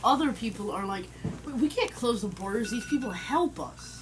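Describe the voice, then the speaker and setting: A woman's voice: a little high, animated, moderate pace. A woman making casual comments as a participant in a group discussion. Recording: noisy room recording.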